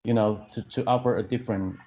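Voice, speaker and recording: Hesitant low-voiced man in his fifties. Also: steady tone, fast, mellow. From Taiwan. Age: 50s. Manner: hesitant, many restarts and fillers, searching for words. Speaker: film director. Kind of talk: interview answers. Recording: dull lo-fi podcast audio.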